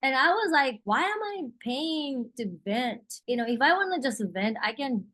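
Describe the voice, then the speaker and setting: A woman co-hosting a podcast in casual chat, her voice strained from losing it, speaking in slow, halting phrases with non-native wording and frequent laughs. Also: sits high, animated, fairly bright tone, dry recording, clean studio sound. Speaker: podcast co-host.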